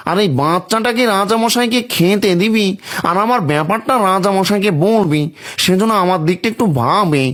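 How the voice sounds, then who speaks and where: A man's voice: high voice, fairly bright tone. A man voicing a sly, greedy fox with a wheedling manner in a children's cartoon. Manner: wheedling, crafty. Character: sly, greedy fox who feigns kindness. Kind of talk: children's fairy-tale cartoon acting. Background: music.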